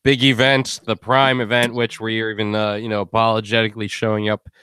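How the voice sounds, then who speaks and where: A man's voice: fairly low pitch, flat delivery, fairly quick, bright, forceful tone, smooth, no room sound. A man hosting a live stream in a casual, rambling, joking way. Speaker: host.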